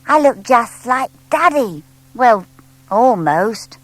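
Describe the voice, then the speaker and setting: A woman's voice: on the high side, big pitch swings, takes it slowly, no echo, voice pushed up, full character voice. A woman voicing a small young male barn owl, proud, eager and blunt, in a children's audiobook. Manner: childlike, hesitant when flustered, blunt.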